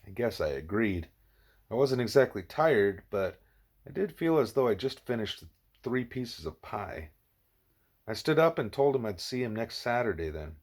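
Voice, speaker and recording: A man's voice: fairly low pitch, very animated, moderate pace, breathy edge. A man reading a first-person story as scripted audiobook narration. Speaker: audiobook reader.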